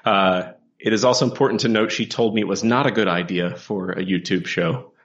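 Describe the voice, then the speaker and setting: Low-pitched man, joking and rambling. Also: a little fast, muffled recording. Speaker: co-host, comic book writer. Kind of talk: casual chat between co-hosts.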